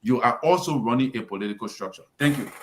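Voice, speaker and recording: A man's voice: mid-range voice, fast, slight background noise. A man with a Liberian English accent on a radio panel, giving political commentary in an emphatic, lecturing manner. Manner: lecturing, emphatic, addresses the president directly. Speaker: radio talk show panelist.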